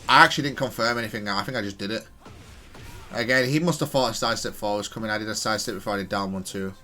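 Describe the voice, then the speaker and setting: Low-voiced man analysing his gameplay. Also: rapid-fire, pushed and bright, soft background music. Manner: self-correcting, analytical, thinking aloud. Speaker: video game player and content creator. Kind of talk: casual gameplay commentary, analysing own match.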